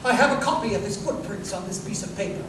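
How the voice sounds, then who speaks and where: An actor playing an incisive, self-assured detective in a live 1930s-style radio drama, speaking precisely and emphatically. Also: male voice, sits high, fast, lightly acted. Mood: analytical. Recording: distant, echoey live stage recording, noisy room.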